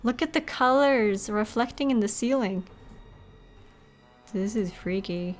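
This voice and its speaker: A woman's voice: mid-range voice, measured pace, soft background music. A woman hosting a live game stream, talking casually and expressively and reading bits aloud in a chatty, giggly way.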